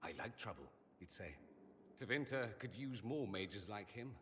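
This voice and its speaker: A theatrical, swooping-voiced witty mage. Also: male voice, fairly low pitch, very animated, a little slow, a bit bright, big-room sound, slight background noise. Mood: subdued grief. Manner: theatrical, arch, sardonic delivery. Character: witty, vain mage from a fantasy empire, wryly self-aware. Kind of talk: dramatic video game dialogue.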